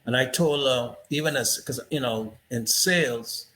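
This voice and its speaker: Male host, slow and reflective, bright forceful tone, slight background hiss. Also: medium pitch, rough recording. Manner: reflective, storytelling with asides like "you know". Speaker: interview host. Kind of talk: casual interview conversation.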